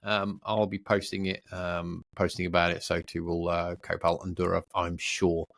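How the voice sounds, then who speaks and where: A man co-hosting a podcast, chatting casually and off the cuff in a rambling, playful, excitable way. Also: low voice, normal speed, vocal fry. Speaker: podcast co-host. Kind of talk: casual unscripted podcast chat.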